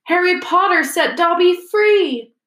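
A woman voicing a small, servile male house elf with a shrill, squeaky voice and an emphatic, excitable manner. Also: unhurried, roomy sound, exaggerated voice. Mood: adoring, eager. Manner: speaks of himself in third person, emphatic.

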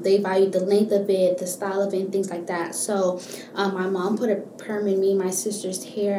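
A young woman from Miami, a university student, answering interview questions in a relaxed, thoughtful way with frequent ums. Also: mid-range voice, roomy sound, a little hiss or hum. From Miami, Florida. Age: young adult.

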